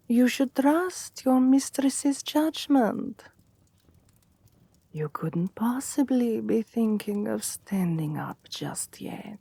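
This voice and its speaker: A woman playing an assertive, seductive vampire queen in an ASMR roleplay, speaking softly and often slipping into a whisper, coaxing and commanding. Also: medium pitch, no echo, heavily acted. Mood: firm, coaxing. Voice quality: soft, breathy, often sinking into whisper. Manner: slow, commanding yet coaxing, formal endearments. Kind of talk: ASMR roleplay, slow soothing bedtime delivery.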